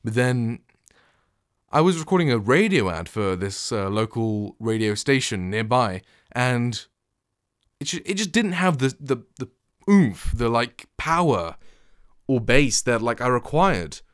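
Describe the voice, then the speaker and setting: A man's voice: sing-song, measured pace, smooth, no echo. Young man chatting in a dry studio. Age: young adult. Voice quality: deep voice. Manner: chatty, rambling, self-correcting. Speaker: vlogger comparing microphones. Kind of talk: casual talk to camera. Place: small treated home studio with acoustic foam. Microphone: studio condenser and shotgun mics close up, reflection filter.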